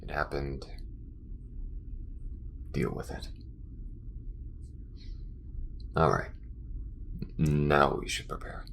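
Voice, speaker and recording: A man playing an earnest alien smuggler and loyal friend in an intimate audio roleplay, speaking haltingly and confidingly to the listener in a gravelly voice. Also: steady tone, a bit bright, a little hiss or hum, exaggerated voice. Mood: reassuring, warm. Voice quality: very deep, gravelly. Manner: halting and confiding, with frequent pauses. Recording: music behind the voice.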